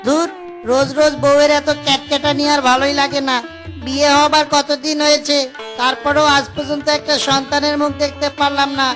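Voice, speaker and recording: High pitch, even melody, woman acting naive male fox rickshaw driver, plaintive, kind-hearted, cartoon, music behind. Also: fairly quick. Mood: grumbling, plaintive.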